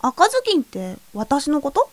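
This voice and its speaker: A woman playing a playful, unafraid seventeen-year-old girl in a fairy-tale audio drama, gently teasing and unruffled. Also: high voice, wildly swooping pitch, normal speed, no room sound. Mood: curious, unfazed. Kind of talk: scripted audio drama acting. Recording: music behind the voice.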